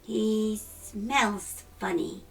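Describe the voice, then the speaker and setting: A woman voicing a bold, teasing young male wild rabbit in a storybook, brisk and challenging. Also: medium pitch, creaky, distant mic, faint music behind. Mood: teasing, scornful. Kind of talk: storybook character reading.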